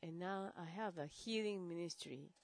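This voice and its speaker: A woman interpreting a sermon in the first person, in a measured way. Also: lowish voice.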